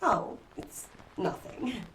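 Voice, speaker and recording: A woman's voice: slow, a bit bright, poor audio. A woman playing a wealthy middle-aged society mother in an audio drama, formal, genteel and condescending. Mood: dismissive, embarrassed. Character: wealthy, haughty society mother, dismissive and proper.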